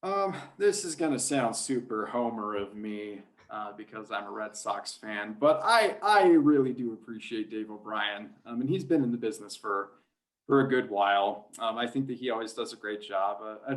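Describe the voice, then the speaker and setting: A man speaking as a guest sports announcer in a casual podcast interview, rambling and hesitant as he thinks aloud. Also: on the low side.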